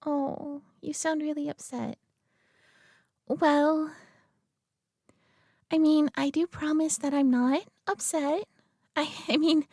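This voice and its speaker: A young woman playing a sweet, nervous girlfriend who talks softly to the listener, giggling and stammering often. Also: high-pitched, creaky, no echo, studio quality, exaggerated voice. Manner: giggly, stammering, soft-spoken. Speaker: audio roleplay performer. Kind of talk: girlfriend roleplay addressing the listener.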